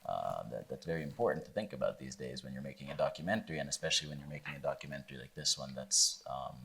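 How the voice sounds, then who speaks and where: Very deep-voiced American man, reflective. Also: creaky, fairly bright tone, breathy edge. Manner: measured, reflective, many fillers. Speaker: filmmaker guest. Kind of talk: panel discussion answer.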